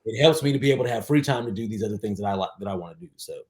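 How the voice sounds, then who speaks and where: A man in his forties talking casually as a podcast guest, emphatic, with halting false starts and some swearing. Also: fairly low pitch, animated, darkish tone. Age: 40s. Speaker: podcast guest, DJ and aspiring filmmaker. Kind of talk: casual interview chat.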